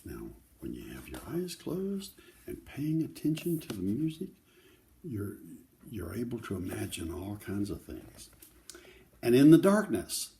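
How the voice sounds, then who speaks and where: Older male storyteller for children: lowish, relaxed voice with very lively intonation, explaining as he goes. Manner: explains the pictures simply, with conversational asides. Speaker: children's book reader. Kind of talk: read-aloud storytelling with informal asides to children.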